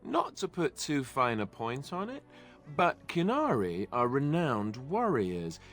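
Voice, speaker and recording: A wry, diplomatic warrior companion in a fantasy video game. His delivery is slow and polite, yet the pitch leaps around a great deal, with faint music behind it. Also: sing-song, a bit bright, full character voice. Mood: tentative, reasoning.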